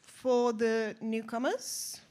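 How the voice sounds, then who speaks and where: A woman's voice: on the high side, steady tone, slow pace, a little mellow. A woman hosting a weekly office breakfast meeting and introducing newcomers. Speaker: meeting host.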